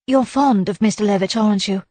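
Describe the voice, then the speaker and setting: A woman's voice: mid-range voice, fairly quick, darkish tone, dry recording, pitched above the performer's own voice, natural voice. A woman voicing a spirited, forthright young Englishwoman in an audiobook, emphatic, well-bred and reassuring. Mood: frank.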